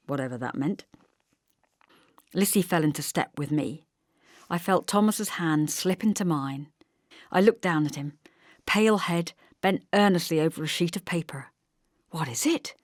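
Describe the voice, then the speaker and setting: A woman narrating a literary novel as an audiobook, in a measured, expressive storytelling manner. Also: lowish voice, dry recording, studio quality.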